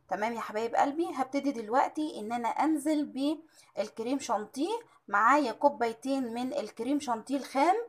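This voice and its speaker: An Egyptian woman hosting a home cooking tutorial, chatty and full of drawn-out hesitations, her voice a little strained and tired. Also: fairly high pitch. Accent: Egyptian Arabic. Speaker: cooking channel host.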